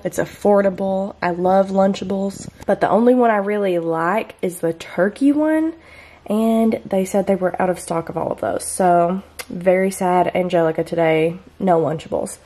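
A young woman in her twenties chatting casually about her groceries in a home kitchen, bubbly and quick to laugh. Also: mid-range voice, mellow. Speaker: lifestyle vlogger. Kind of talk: casual grocery haul chat.

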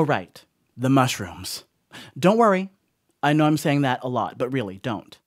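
A man's voice: mid-range voice, no echo, natural voice. Actor as rambling, sheepish stoner guy, dry sound. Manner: rambling, apologetic, casual asides. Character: rambling, sheepish stoner house-sitter, a young man. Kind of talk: audio horror monologue, conversational confessional.

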